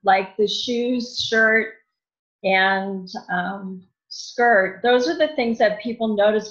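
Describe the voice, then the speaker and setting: A woman guest giving casual style advice in conversation, explaining things in a matter-of-fact way. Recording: dull, muffled low-fidelity audio.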